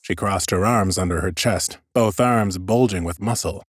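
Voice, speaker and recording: A man's voice: sits low, normal speed, dry recording. A man narrating scripted first-person fantasy audiobook prose with wry understatement, close on a studio mic. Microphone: close studio microphone.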